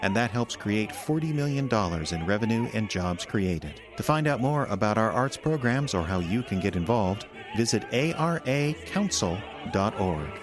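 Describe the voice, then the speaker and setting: A man's voice: on the low side, very animated, moderate pace, few pauses. A man announcing a radio drama and reading sponsor copy in a scripted style. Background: music.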